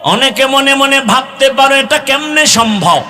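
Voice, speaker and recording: A man's voice: very high voice, lively intonation, unhurried. A man with a Bangladeshi accent preaching an impassioned Islamic sermon to young people, chanting and drawing out his exhortations. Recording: long hall reverb with music behind.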